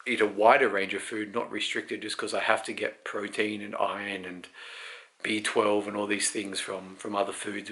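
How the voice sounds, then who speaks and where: A man in his thirties talks off the cuff with plenty of vocal fry and a fairly flat melody. He rambles candidly, pausing to think aloud, in the manner of a personal video diary. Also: on the low side. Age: 30s. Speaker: vlogger. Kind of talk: casual unscripted personal health update.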